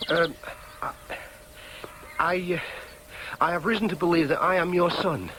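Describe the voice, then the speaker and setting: A man's voice: on the high side, unhurried, noisy background, close to an everyday voice. An actor in a radio drama playing an earnest, dogged young man with a Yorkshire accent. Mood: insistent. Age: young adult. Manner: insistent, questioning, sometimes in inner monologue. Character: earnest, dogged young Yorkshireman seeking his birth mother.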